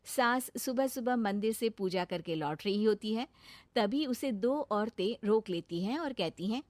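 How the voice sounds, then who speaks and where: Woman storyteller with a highish voice. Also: expressive, unhurried, darkish tone, no room sound. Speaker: narrator. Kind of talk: scripted story narration.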